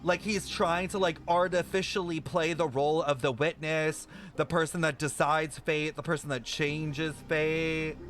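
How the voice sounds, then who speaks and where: A man's voice: on the high side, fairly even intonation, a little slow, hardly any pauses, fairly bright tone, faint music behind. A man streaming a game reaction, making profane, joking, chatty commentary with lots of fillers. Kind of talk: casual live-stream reaction.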